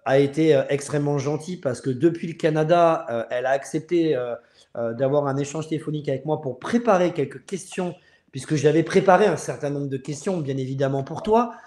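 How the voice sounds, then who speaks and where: Casting: male host, 30s, fairly high voice, earnest and halting, casual live chat from a very roomy-sounding space. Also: warm tone. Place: room at home. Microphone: earphone mic on video call. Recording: roomy, echoing video-call audio.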